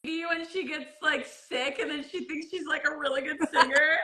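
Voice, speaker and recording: Bubbly young female host, high and bright, laughs easily. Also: steady tone, normal speed. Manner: bubbly, laughs often, says "like" a lot. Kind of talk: casual live-stream chat.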